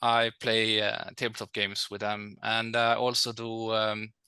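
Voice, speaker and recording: A man's voice: lowish voice, completely flat delivery, bright, forceful tone, clean tone, no room sound. Hesitant man with a Norwegian accent. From Norway. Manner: hesitant, many pauses and fillers. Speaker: podcast guest.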